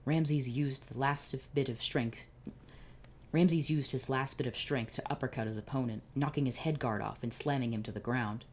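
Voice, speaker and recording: Dude reading fanfic out loud, trips over words, grumbles bleh at the bad bits. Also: male voice, medium pitch, fairly even intonation, moderate pace. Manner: stumbling reading with sarcastic asides. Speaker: channel host.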